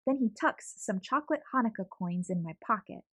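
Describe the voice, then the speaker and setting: Young woman, children's read-aloud, expressive, lively pitch, bright-ish tone, dry close sound. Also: fairly quick, no echo. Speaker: storyteller.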